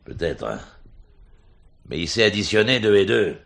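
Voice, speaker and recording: Male blackmailer: very deep, gravelly, swinging intonation, smug and taunting menace; French crime drama. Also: sing-song, normal speed, fairly bright tone, exaggerated voice. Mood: mocking. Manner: insinuating, theatrical, taunting. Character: sly, mocking male blackmailer, smugly menacing.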